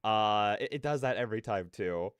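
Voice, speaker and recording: A man hosting a casual reaction livestream, rambling in chatty, slangy speech with an animated, self-deprecating manner. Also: on the low side, moderate pace, clear, resonant tone, no room sound. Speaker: livestream host.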